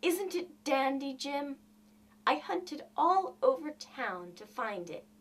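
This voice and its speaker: A woman's voice: sits high, big pitch swings, slow, recorded from a distance, full character voice. A woman voicing a devoted, eager young wife in a storybook reading, earnest and gushing. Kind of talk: storybook character dialogue.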